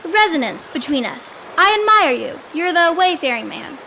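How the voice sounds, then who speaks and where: Young girl acting bright, high-voiced spirited village maiden. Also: very animated, moderate pace, gravelly, slight background noise, full character voice, rough recording. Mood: earnest, admiring. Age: young teenager. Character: spirited, hopeful young woman of old Sleepy Hollow. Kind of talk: school radio play acting.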